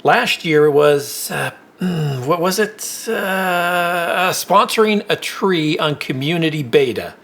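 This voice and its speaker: An actor playing a kindly older company employee in an audio drama, folksy, wry and a little hesitant. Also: male voice, fairly high pitch, lively intonation, takes it slowly, a bit bright, exaggerated voice. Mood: wry, friendly. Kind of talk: naturalistic audio drama dialogue.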